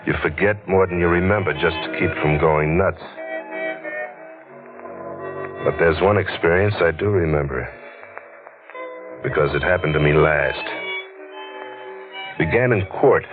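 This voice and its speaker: A man's voice: low-pitched, moderate pace, long pauses, natural voice. An actor playing a world-weary, hard-boiled newspaper crime reporter with jaded, clipped tough-guy talk in a 1940s radio noir drama. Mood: weary, brooding. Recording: muffled old radio recording with music.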